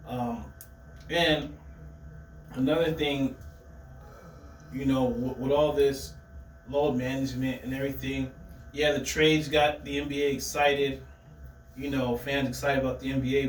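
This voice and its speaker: A man hosting a casual sports chat, asking his co-host pointed questions. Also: mid-range voice. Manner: asks leading questions, needling. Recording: echoey room, distant mic, faint background noise.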